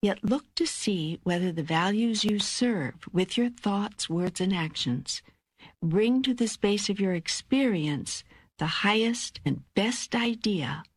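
Unhurried, slightly husky woman as serene God. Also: mid-range voice, expressive, dry recording. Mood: instructive. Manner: measured, deliberate, emphatic pauses. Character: God, a serene, patient, all-knowing teacher. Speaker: audiobook narrator. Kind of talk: scripted audiobook reading of spiritual dialogue.

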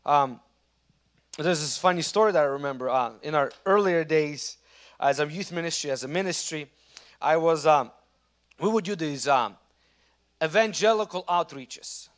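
A man with a Russian accent preaching a sermon as a pastor, telling stories with lively restarts. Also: on the high side, normal speed, long pauses. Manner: animated storytelling preacher with frequent restarts.

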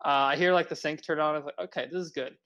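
A young man with a somewhat raised voice tells a story to his friends on a podcast. He rambles, stacking up "like" and "um", and his retelling stays animated throughout. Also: on the high side, racing. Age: young adult. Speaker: podcast co-host. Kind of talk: casual storytelling among friends.

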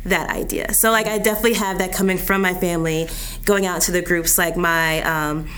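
A woman's voice: lowish voice, fairly even intonation, fairly quick, fairly bright tone. A woman in her twenties, a grad student, talking thoughtfully and casually in a group discussion and laughing easily.

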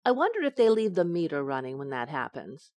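Woman playing motherly sitcom friend, lower voice, soothing, affectionate, dry close sound. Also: big pitch swings, brisk, a little mellow, no echo. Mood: reassuring. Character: reassuring, motherly woman comforting her pregnant friend. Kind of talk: old-time radio sitcom acting.

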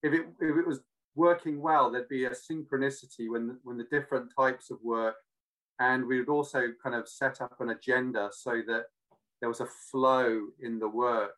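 A man from Cardiff with a British but not Welsh accent, talking casually and reflectively, often pausing to search for words. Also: mid-range voice, fairly even intonation, fairly bright tone, rough recording. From Cardiff, Wales. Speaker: interview guest.

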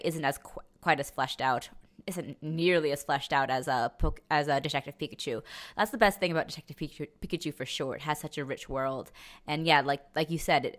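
Low-voiced young woman podcast co-host. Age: young adult. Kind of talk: casual opinionated movie chat.

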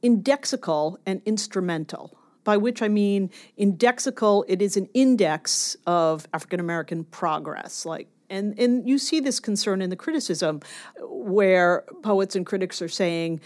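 A woman's voice: mid-range voice, lively intonation, normal speed, darkish tone. A woman scholar being interviewed, explaining ideas in an academic but conversational and emphatic way.

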